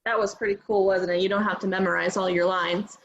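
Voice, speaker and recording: A woman's voice: medium pitch, level tone, fast talker. Encouraging woman on a lo-fi video call. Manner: encouraging, upbeat short replies. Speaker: camp instructor interviewing students. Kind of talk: friendly interview with children.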